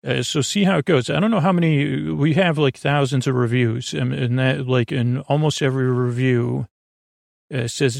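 A man hosting a bedtime podcast, drifting through meandering, digressive talk in a soft, unhurried, self-deprecating way. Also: mid-range voice, gravelly, dry recording, clean studio sound. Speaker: podcast host.

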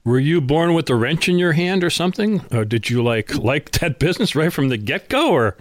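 A man hosting a business interview in an informal, conversational way, sometimes pausing to rephrase himself. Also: a little high, expressive, dry recording. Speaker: interview host.

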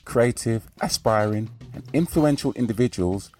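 A man hosting a podcast, reading a formal intro and then interviewing his guest in a measured, attentive way. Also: medium pitch, darkish tone, faint music behind. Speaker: podcast host.

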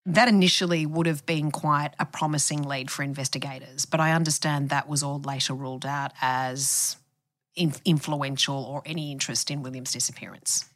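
An Australian woman hosting a podcast, interviewing a journalist in a measured style. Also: low voice, fairly even intonation, a bit bright, no room sound, clean studio sound.

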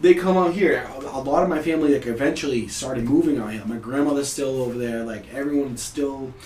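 A man's voice: medium pitch, fairly quick, far from the mic. A man in his thirties from Puerto Rico, a podcast guest telling stories in a loose, profane, laughing chat. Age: 30s. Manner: animated, profane, laughs often. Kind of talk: casual storytelling chat. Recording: roomy, off-mic with faint hiss.

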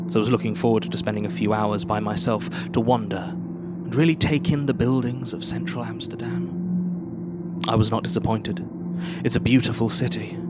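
Low-voiced, unsettled young man played by an actor over music. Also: lightly acted. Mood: measured, reminiscing. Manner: measured, deliberate storytelling with dramatic pauses. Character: unsettled young man recounting an eerie experience, earnest. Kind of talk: live audio drama, dramatic horror monologue. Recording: live stage recording under loud music. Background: loud music.

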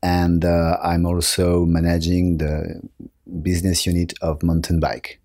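Deep, mellow French-accented man. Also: completely flat delivery, a little slow, no echo, clean studio sound. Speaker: interview guest, company marketing director. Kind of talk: conversational interview answers. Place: office.

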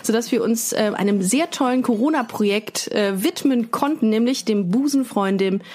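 A woman's voice: fairly high pitch, normal speed, darkish tone. A woman hosting a casual podcast chat in a joking, ironic way. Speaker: podcast host.

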